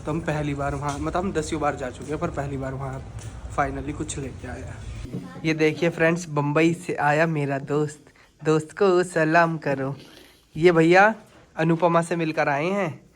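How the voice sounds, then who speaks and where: A man chats playfully to his vlog audience from home, his voice sitting a little higher than most men's. He is recorded on a phone, with clear household noise running behind him. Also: steady tone, normal speed. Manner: chatty, playful, teasing. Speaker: vlogger. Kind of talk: casual daily vlog chat. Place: at home indoors.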